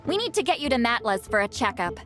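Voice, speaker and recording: Mischievous game granny priestess, high voice, very lively pitch, blunt, teasing, cocky. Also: female voice, quick pace. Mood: brash, teasing. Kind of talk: video game voice acting. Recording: studio voice over game music.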